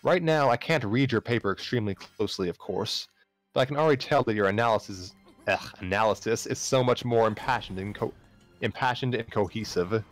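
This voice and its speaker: A man's voice: fairly low pitch, a little fast, natural voice. A man playing a kindly, formal male university teaching assistant, earnest and encouraging, in a story reading. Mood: pleased, encouraging. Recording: music playing behind the voice.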